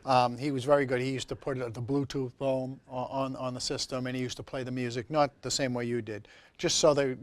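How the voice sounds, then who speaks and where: A man's voice: mid-range voice, little pitch movement, a little fast. A middle-aged or older man co-hosting a local talk show, chatting casually and conversationally. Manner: conversational, prompting his co-host. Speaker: co-host, senior center director.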